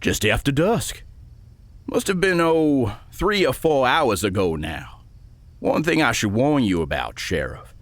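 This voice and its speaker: Old frontier banker, flustered and folksy, voice jumps around a lot, real dry sound. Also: male voice, medium pitch, big pitch swings, a little slow, no room sound. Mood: wary, explaining. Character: nervous, mannerly old banker in a western. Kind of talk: western audiobook character acting.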